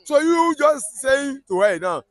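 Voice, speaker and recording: A man's voice: very high voice, very animated, a little slow. A man with a Yoruba Nigerian accent giving heated political commentary, emphatic and repetitive, often restarting his sentences.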